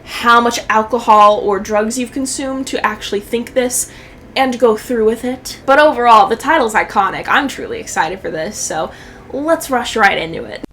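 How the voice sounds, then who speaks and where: High-voiced sarcastic young woman. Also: moderate pace, a bit bright, a little hiss or hum. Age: young adult. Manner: sarcastic, slangy, sweary. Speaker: YouTube commentator. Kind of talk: casual commentary.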